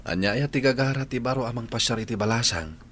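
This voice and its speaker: A man's voice: medium pitch, fast talker, fairly bright tone, a little hiss or hum, close to an everyday voice. A man with an Ilocano accent playing a bashful bachelor son who is courting, in a radio drama. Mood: shy courting.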